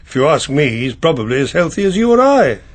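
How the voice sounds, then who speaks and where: Husky, courteous older English solicitor. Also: male voice, on the high side, sing-song, fast talker. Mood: confiding. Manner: courteous, hesitant, dry. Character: polite, discreet, dry older male family solicitor. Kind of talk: radio drama acting.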